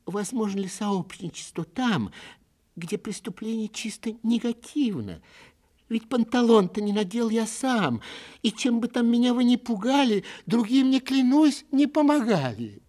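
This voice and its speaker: A man acting a high-pitched, naive, excitable clerk. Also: unhurried. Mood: anxious pleading. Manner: earnest, agitated, naive. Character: naive, timid, excitable civil servant pleading innocence. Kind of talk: theatrical audiobook verse recitation.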